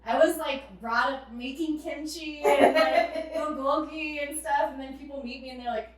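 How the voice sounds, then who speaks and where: A woman chatting casually as an interview guest, giggly and animated, saying "like" often, recorded in a very echoey room away from the mic. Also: high-pitched, normal speed, distant mic. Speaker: podcast guest, tattoo artist and shop owner. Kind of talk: casual chat.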